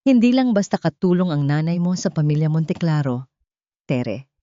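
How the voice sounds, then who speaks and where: A woman voicing a solemn male lawyer in an audiobook, grave, formal and deliberate. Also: sits low, very animated, warm tone, dry recording, dull audio. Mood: grave, revealing. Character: solemn male lawyer revealing old secrets.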